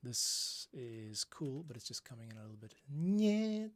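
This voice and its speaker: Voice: medium pitch, sing-song, unhurried, no room sound. A person thinking aloud in muttered, casual commentary while working as a composer at a computer. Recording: music playing over the voice. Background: music and keyboard typing.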